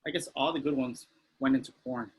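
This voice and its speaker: A man's voice: mid-range voice, brisk, a little hiss or hum, lightly acted, rough recording. A man reading the part of a tactless, clueless, insulting man in a sitcom table read. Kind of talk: amateur table read acting.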